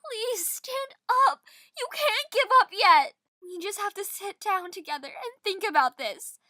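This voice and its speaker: A woman's voice: extremely high pitch, lively intonation, measured pace, bright, forceful tone, no room sound, clean studio sound, full character voice. A woman playing an earnest, emotional young girl in a game fan dub, pleading and insistent. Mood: desperate, pleading.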